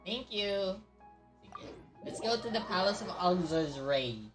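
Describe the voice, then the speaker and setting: Animated Filipino woman streaming a game. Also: medium pitch, normal speed, soft background music. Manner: reactive, switches between Tagalog and English. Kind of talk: casual livestream game commentary.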